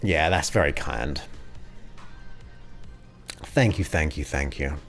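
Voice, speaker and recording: Guy in his 40s, very deep voice, rambly casual stream chat, faint music behind. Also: takes it slowly, creaky, fairly bright tone. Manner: chatty, rambling, thanks viewers by name. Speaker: livestream host. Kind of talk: casual chat with live viewers.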